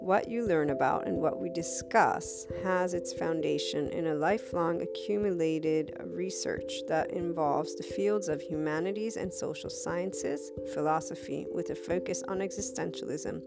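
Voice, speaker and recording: A woman hosting a podcast on a headset mic, speaking loudly and enunciating her words carefully, getting passionate as she goes. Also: on the low side, normal speed, gravelly, few pauses, loud music behind the voice. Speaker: podcast host. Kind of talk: scripted intro then casual podcast talk.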